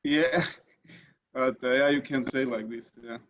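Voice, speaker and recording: Man, english, hesitant, lots of fillers, mid pitch, muffled call audio. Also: steady tone, unhurried, a bit bright, a little hiss or hum. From northern Italy, German-speaking minority. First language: German. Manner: hesitant, many uh fillers and restarts. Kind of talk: casual video call chat.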